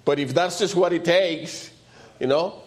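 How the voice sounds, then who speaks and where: A man with a loud voice and preaches in an informal, conversational way, repeating short phrases for emphasis. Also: on the high side, unhurried. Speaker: preacher leading a church service.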